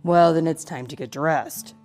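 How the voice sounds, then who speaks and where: A woman voicing a patient father in a storybook, reasoning in a measured way. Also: deep voice, a little mellow, soft background music, pitched below the performer's own voice. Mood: patient, firm.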